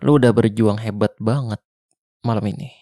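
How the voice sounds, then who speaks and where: A young man with a Jakarta slang accent playing a weary ex-soldier survivor of a zombie apocalypse, speaking softly and intimately in an ASMR roleplay. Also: fairly low pitch, fairly even intonation, no room sound, clean studio sound, close to an everyday voice. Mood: tender. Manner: soft, slow, intimate, half-whispered. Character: weary male ex-soldier survivor, tender protector. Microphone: close mic.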